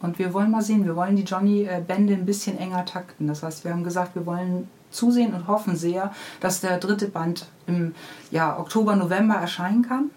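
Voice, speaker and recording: A woman's voice: on the low side, fairly quick, darkish tone. A woman who writes children's books, answering interview questions in an unscripted, conversational way. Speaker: children's book author being interviewed.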